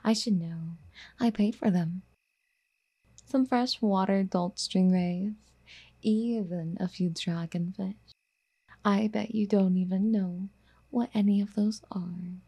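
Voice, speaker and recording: Woman acting a soft, breathy doting yandere girlfriend. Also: mid-range voice, fairly even intonation, takes it slowly. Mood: boastful. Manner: coaxing, soft-spoken, often dropping into whispers. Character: doting, possessive rich yandere girlfriend, coaxing and sheepish. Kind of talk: ASMR girlfriend roleplay.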